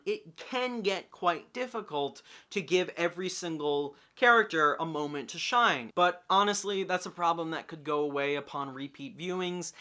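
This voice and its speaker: A young man delivers an enthusiastic review to his viewers. His voice sits a little high and has a sharp, forceful brightness. He speaks informally and emphatically, with excitable energy and the odd mild swear. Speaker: movie reviewer. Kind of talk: enthusiastic video review to camera.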